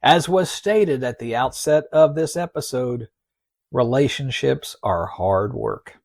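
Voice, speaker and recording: A man hosting a podcast, narrating in a folksy, wry and measured way, reading out quotes with deliberate emphasis. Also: mid-range voice, lively intonation, a little mellow, dry recording, clean studio sound. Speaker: podcast host. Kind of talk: narrated podcast storytelling with read-out article quotes.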